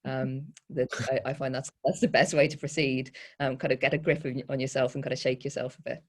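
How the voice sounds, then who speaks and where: A poet and panel guest giving thoughtful, hesitant answers, often self-correcting and gently wry. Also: medium pitch, flat delivery, a little fast.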